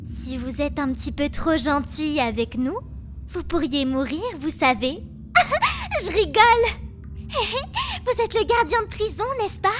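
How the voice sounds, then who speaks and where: Woman as a high-voiced, lovesick fragile young woman. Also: sing-song, measured pace. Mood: sweet, playful. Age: 20s. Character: gentle, lovesick 22-year-old woman prisoner, fragile. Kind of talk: French anime fan dub monologue.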